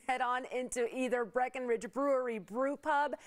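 A woman presenting a scripted TV segment intro in a smooth, bright presenter manner. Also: high-pitched, moderate pace. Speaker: TV show host. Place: TV studio kitchen set.

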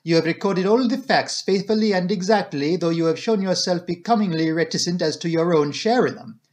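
Man playing a proud French-accented detective, higher-pitched. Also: brisk, darkish tone, lightly acted. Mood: measured, kindly. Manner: theatrical, formal, inverted phrasing. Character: fussy, proud, courteous foreign male detective.

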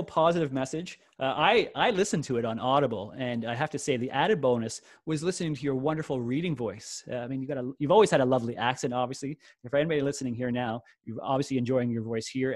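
A man's voice: medium pitch, dry recording. A man in his forties hosting a podcast interview over a video call, talking eagerly with many fillers. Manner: fast, filler-heavy, eager. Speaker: podcast host. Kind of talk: friendly interview.

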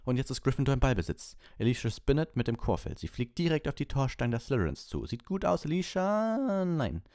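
A man voicing an excitable, partisan schoolboy sports commentator in a storybook, with breathless, exclamatory play-by-play. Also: medium pitch, brisk, no echo, studio quality, pitched above the performer's own voice. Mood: excited.

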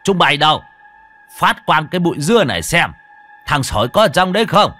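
A man doing a gruff bossy old village shaman. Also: lowish voice, sing-song, moderate pace, soft background music, exaggerated voice. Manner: barking orders, loud and gruff. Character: bossy older male village shaman, quick-tempered.

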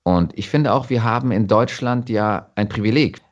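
A man with a German accent talking reflectively as an interview guest, thoughtful and halting as he restarts his phrases. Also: fairly low pitch, lo-fi sound.

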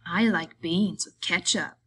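A woman voicing a big, simple-minded, childlike man who speaks in halting, folksy ungrammatical phrases, meek and pleading, in an audiobook story. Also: fairly low pitch, fairly bright tone, slight background noise. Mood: eager, simple.

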